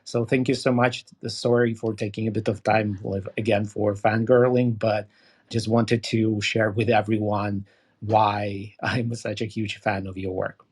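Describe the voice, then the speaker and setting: A man's voice: fairly low pitch, flat delivery, measured pace, breathy edge. A man whose first language is Ukrainian, co-hosting a podcast and asking effusive, rambling questions. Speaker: podcast co-host. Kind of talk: interview questions.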